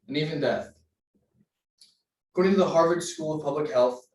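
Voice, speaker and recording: A young man giving an earnest persuasive class speech, citing figures with occasional restarts. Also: mid-range voice. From United States. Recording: roomy sound from a distant mic.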